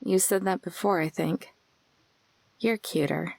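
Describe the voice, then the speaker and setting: A woman's voice: on the low side, a little slow, creaky, darkish tone, dry recording. Prickly, guarded young woman. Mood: shy, fond. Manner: dry, curt, defensive. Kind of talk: visual novel voice acting.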